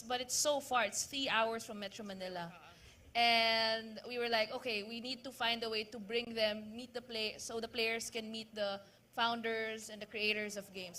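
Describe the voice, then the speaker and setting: Woman panel moderator, bright and forceful tone, slightly high, conversational with uhs. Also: slight background noise. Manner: conversational, hesitant uhs and restarts.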